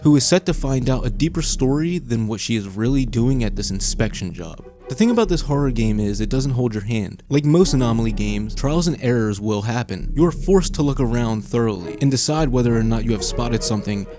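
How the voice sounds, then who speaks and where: A man narrating a scripted video essay in a suspenseful storytelling manner. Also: medium pitch. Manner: suspenseful second-person storytelling.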